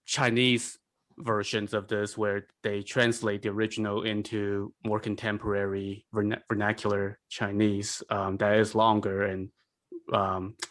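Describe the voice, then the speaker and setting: Low-voiced man, measured and analytical. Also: flat delivery, a bit bright. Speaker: podcast panelist. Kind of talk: casual analytical discussion.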